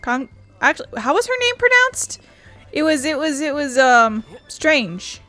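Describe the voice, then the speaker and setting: A woman's voice: high voice, big pitch swings, unhurried, pushed and bright, faint music behind. A woman giving casual, chatty commentary as she plays a game, thinking aloud and swearing now and then. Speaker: gaming video host.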